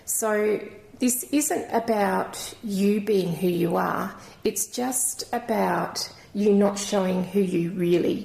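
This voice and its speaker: A woman with a croaky voice giving a channelled crystal reading in a gentle, rambling, reassuring way. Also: medium pitch. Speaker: intuitive card reader. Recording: echoey room.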